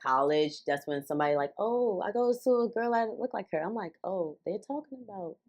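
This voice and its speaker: A woman's voice: mid-range voice, lively intonation, fairly quick, a little mellow. A young woman with a New York accent, chatting casually as a podcast guest, rambling with lots of filler words. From New York City. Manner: rambling, full of "like" fillers, laughs often. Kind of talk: casual chat.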